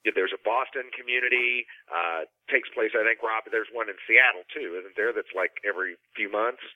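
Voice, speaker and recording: A man's voice: fairly low pitch, normal speed, no room sound. A man speaking as a panel guest, explaining things conversationally over a narrow telephone-like connection. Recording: dry, narrow telephone-like line.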